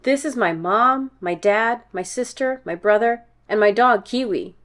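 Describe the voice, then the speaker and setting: A woman's voice: a little high, unhurried, fairly bright tone. A woman voicing a proud, earnest young schoolboy in a children's storybook, speaking expressively.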